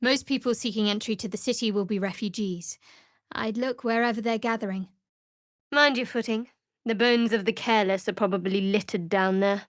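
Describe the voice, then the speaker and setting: An actress playing a guarded, sardonic young female cleric of a dark goddess in a video game, speaking with dry, wry, clipped sarcasm. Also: mid-range voice, steady tone, normal speed, no echo, natural voice. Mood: guarded, matter-of-fact. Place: dry studio recording.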